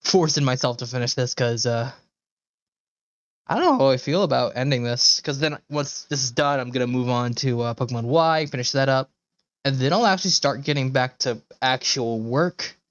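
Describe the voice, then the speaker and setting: A low-key male streamer rambling casually at a middling pitch. The mic is dry and close, with a slightly dull sound. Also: normal speed, no echo. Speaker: live streamer. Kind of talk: casual livestream chat.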